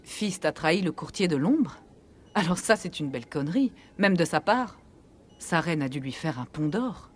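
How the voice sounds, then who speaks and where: A woman's voice: low-pitched, animated, moderate pace, breathy edge. A woman playing an earnest, frank clinic doctor in a video game, explaining things seriously. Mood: frank, surprised. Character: earnest female human clinic doctor, shaken but frank.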